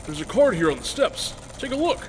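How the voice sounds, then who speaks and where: A woman's voice: on the high side, sing-song, brisk. A woman playing a skeptical, tough-talking bystander in a live radio drama, blunt and slangy. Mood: curious. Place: live performance in a church. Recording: echoey hall, background noise.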